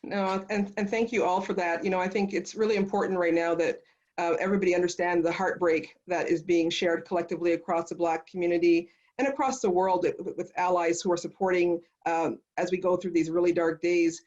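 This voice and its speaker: A woman's voice: on the low side, steady tone, fairly quick, darkish tone. A woman moderating a panel discussion in a conversational way over a video call. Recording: muffled video call audio.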